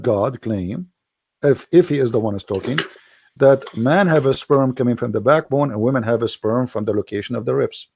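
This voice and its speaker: A man's voice: medium pitch, vocal fry, a little mellow, husky. A middle-aged man whose first language is Arabic hosts a heated debate, talking in a sarcastic, mocking way and often saying "my friend". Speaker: debate host.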